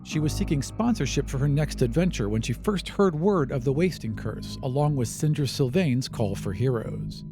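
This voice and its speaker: A man's voice: mid-range voice, expressive, normal speed, few pauses, over music. A man narrating a tabletop fantasy adventure as game master, in a scripted storytelling style.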